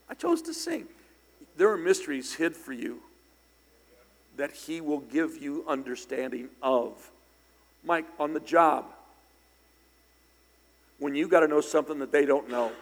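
A middle-aged man preaching a sermon in church, slightly hoarse and raspy from singing, conversational with loud bursts of emphasis. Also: on the high side. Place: church sanctuary. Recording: long hall reverb in church.

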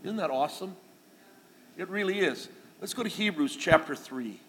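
Middle-aged male pastor, slightly high voice, conversational sermon, recorded in a reverberant church hall. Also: lively intonation, normal speed, fairly bright tone. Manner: conversational, folksy with asides and jokes. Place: church sanctuary. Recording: long reverb of a church hall, faint noise.

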